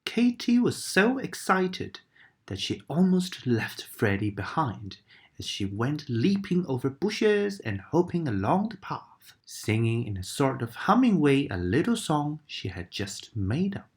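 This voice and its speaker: Woman, bedtime story reader, low voice, slow, animated. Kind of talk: bedtime story read-aloud.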